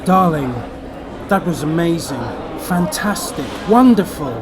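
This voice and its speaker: A man's voice: fairly high pitch, expressive, a little slow. A man playing an ardent, wistful lover in an acted short-story reading, effusive and lingering. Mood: adoring. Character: ardent, wistful male lover of a married actress. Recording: crowd noise in public room.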